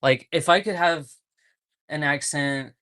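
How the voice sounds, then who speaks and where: A man's voice: fairly high pitch, unhurried, pushed and bright, dry recording. Man streamer, rambling and nervous, close dry mic. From California. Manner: rambling, repeats clips, nervous laughs. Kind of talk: casual livestream chat.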